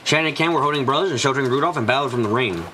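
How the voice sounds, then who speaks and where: A man's voice: mid-range voice, racing, bright, forceful tone, a little hiss or hum, poor audio. A man reading a visual novel aloud as a live fan dub on a stream. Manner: switches between character voices while reading. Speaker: let's play streamer.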